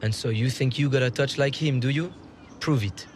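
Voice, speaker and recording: A man's voice: mid-range voice, normal speed, background noise, close to an everyday voice. An actor playing a self-assured, friendly footballer who banters easily with his teammate in a game cutscene. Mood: teasing. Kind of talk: video game cutscene acting.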